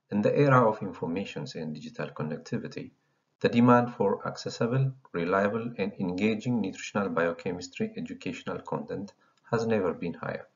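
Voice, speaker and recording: Deep gravelly man lecturing over slides. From Ethiopia. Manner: reads slides in an even, formal lecturing tone. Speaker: channel founder and lecturer. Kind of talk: scripted slide presentation. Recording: dull lo-fi voice recording.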